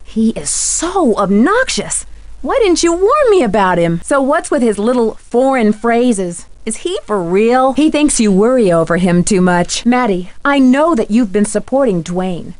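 A woman playing an earnest, sharp young female detective in a video game, speaking inquisitively and with clear diction. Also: fairly high pitch, very animated, unhurried, no echo.

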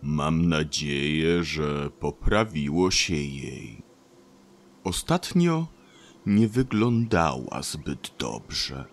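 Very deep, very slow ancient tree. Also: male voice, sing-song, heavily acted. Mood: weary, worried. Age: older. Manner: slow, sighing, deliberate. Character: ancient, wise, weary, grumbling beech tree. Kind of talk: audio drama character acting.

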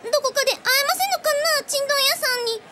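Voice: very high-pitched, lively intonation, rapid-fire, pushed and bright, slight background noise, full character voice. A performer voicing a polite, quirky little genius girl with ornate, old-fashioned speech in a radio drama. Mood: curious. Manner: quaint, over-formal, sing-song politeness. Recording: music behind the voice.

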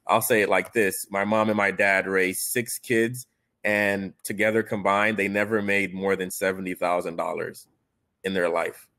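Low-voiced man from Haiti, earnest. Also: fairly bright tone. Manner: reflective, earnest, many fillers and restarts. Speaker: panelist. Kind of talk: personal storytelling on a video call. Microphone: video call.

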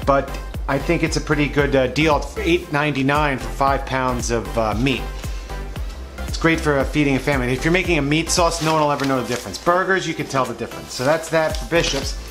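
A man's voice: mid-range voice, brisk. A man hosts a vlog, talking casually and hesitantly about supermarket flyers, with many fillers. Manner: rambling, hesitant, full of uh fillers. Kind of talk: casual unscripted commentary. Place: indoors at a table, voice-over to overhead shots. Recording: music playing under the voice. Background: background music.

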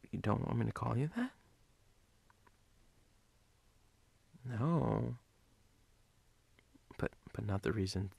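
A man playing a sleepy, teasing, doting boyfriend in an ASMR role-play, husky and breathy, often dropping to a whisper in an intimate, halting way. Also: fairly low pitch, dark tone, no room sound, full character voice. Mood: tender teasing.

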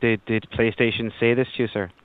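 A man working as a customer service agent on a phone call, speaking politely and apologetically, with halting delivery. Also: mid-range voice, level tone. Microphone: telephone. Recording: narrow tinny phone line.

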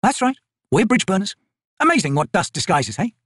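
A man voicing a tough, brisk woman corporal in an audiobook, blunt and teasing in manner. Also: high-pitched, sing-song, fairly bright tone, no echo, clean studio sound, pitched above the performer's own voice, heavily acted. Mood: commanding. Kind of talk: audiobook character voice.

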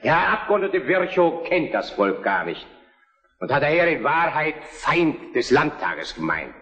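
A reverberant hall carries the voice of an imperious Prussian prime minister. He is defiant and oratorical, with a high pitch that swings widely, in historical radio drama style. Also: big pitch swings, unhurried, a bit bright, full character voice. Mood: scornful, defiant. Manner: commanding, biting, oratorical. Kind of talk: German historical radio play acting. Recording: echoey parliament hall, long reverb.

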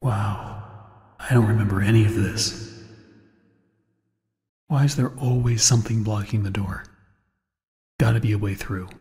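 A man's voice: on the low side, steady tone, unhurried, long pauses, darkish tone. An actor playing a weary, wary American man exploring a haunted cartoon studio in a video game, muttering his scripted lines in an understated way. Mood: calm, musing. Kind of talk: scripted game character dialogue. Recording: echoey game audio with long reverb.

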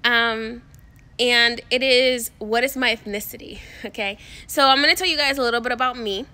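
A woman's voice: fairly high pitch, moderate pace, bright, forceful tone, clean tone. A young woman, talking casually to her phone camera outdoors, rambling with lots of fillers and restarts. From Dominican Republic. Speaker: spiritual coach vlogger. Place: backyard outdoors. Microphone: phone at arm's length.

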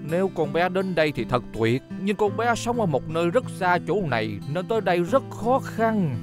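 A man voicing a kindly, excitable elderly grandfather shopkeeper in a children's cartoon dub, expressive and given to muttering. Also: sits high, normal speed. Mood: wistful. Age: older. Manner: expressive, muttering, exclaiming to himself. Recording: music under the voice.

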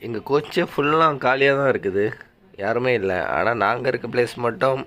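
A young man narrating a casual family vlog from a train sleeper coach, chatty and coaxing his baby. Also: normal speed. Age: young adult. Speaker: vlogger, father. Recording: loud train noise behind. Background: loud train rumble.

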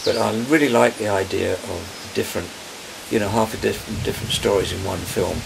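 An older man on a countryside porch, recalling his film career in a rambling, halting way, often searching for names. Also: fairly low pitch, steady tone, creaky. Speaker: interviewee, film director. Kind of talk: casual reminiscing interview. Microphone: camera mic, medium distance. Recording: loud outdoor background noise.

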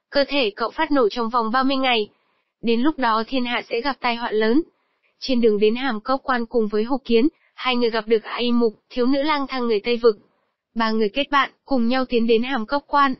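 A woman's voice: a little high, no echo, muffled recording. A woman reading a scripted promotional narration as a channel narrator. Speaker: channel narrator introducing an animated series.

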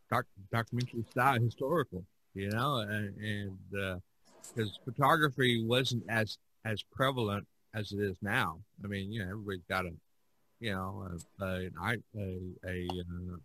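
A man hosting a casual art discussion, halting and repeating words as he searches for them. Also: lowish voice, pushed and bright, a little hiss or hum, poor audio. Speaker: podcast host.

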